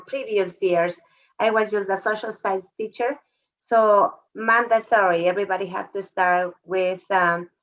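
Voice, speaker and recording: Woman teacher on a call. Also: medium pitch. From Mexico. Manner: warm, emotional, halting phrasing. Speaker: fourth grade teacher. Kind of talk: video call discussion. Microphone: video call. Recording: dull lo-fi video call audio.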